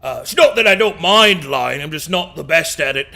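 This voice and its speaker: A man's voice: on the high side, expressive, normal speed, gravelly, sharp tone, full character voice. A man voicing a male demon who brews poisons, talking in a rambling, folksy, old-fashioned way. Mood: questioning. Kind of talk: tabletop roleplay in character.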